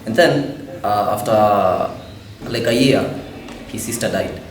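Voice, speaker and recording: A man's voice: lowish voice, takes it slowly. A young man, a student talking candidly in an interview. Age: young adult. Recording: roomy, clear background noise.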